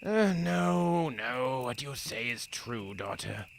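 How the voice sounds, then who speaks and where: A stern, protective father and guard acted by a man in an audio drama. His voice swings widely in pitch as he blusters in old-fashioned words, with some background noise on the recording. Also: animated, slow, vocal fry. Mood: reluctant. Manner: blustering, stammering when troubled, archaic phrasing.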